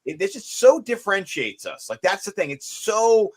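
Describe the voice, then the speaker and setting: A man with a raspy voice co-hosting a casual fantasy sports show, joking around and talking in loose restarts. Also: sits high, normal speed. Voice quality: raspy today. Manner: animated, jokey, rambling with restarts. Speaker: co-host.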